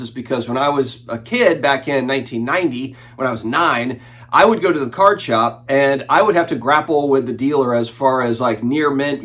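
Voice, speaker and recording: A man's voice: mid-range voice, a bit bright. A man in his forties, sick with the flu and a bit nasal, hosting a collectibles channel in a casual, rambling chat with fillers and restarts. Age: 40s. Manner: conversational, frequent fillers and restarts. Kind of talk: casual talking-head commentary.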